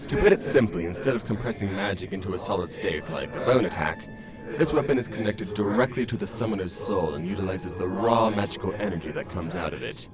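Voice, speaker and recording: An actor playing a calm, proud skeleton scientist in a comic dub, speaking in a formal, measured, explanatory manner. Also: male voice, sits low, over music. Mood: composed, explanatory. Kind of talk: fan comic dub voice acting.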